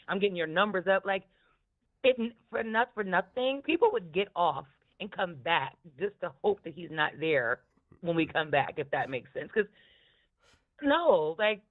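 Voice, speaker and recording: A woman with a smoky voice chatting casually and candidly about podcasts, swearing freely and prone to laughing. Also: on the low side. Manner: candid, profane, laughs often. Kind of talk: casual chat, commentary on podcasts. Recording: thin, tinny phone-line audio.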